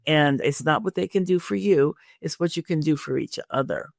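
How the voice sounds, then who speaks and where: Creaky-voiced woman hosting an interview. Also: sits low, moderate pace, no echo, clean studio sound. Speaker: podcast host and career coach.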